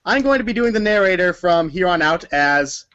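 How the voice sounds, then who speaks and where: Higher-pitched man narrating. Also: expressive, moderate pace, sharp tone, muffled recording. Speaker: narrator of a comedy read-along. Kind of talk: scripted second-person narration.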